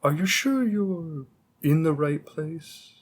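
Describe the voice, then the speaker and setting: Casting: male actor as a long-lived bookish shopkeeper for roleplay audio, unhurried, slightly husky, courteous, hesitant and stalling. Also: a little high, expressive, exaggerated voice. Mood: politely probing. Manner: polite, hesitant, rambling, gently formal. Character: long-lived, bookish, old-fashioned male bookstore keeper, politely stalling. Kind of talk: scripted roleplay audio drama, addressing the listener.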